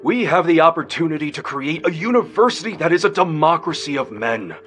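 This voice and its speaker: An actor playing a confident, visionary male tycoon in a radio drama, speaking in a grand, expressive way. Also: a little high, a little fast, soft background music.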